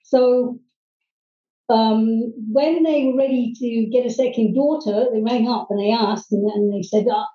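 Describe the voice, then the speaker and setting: A middle-aged woman from Hong Kong, telling her life story as a guest, earnestly and haltingly, with many ums and restarts. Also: fairly high pitch, dark tone. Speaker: guest, private English teacher. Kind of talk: personal storytelling in interview. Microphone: video call. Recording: distant, roomy, dull video-call audio.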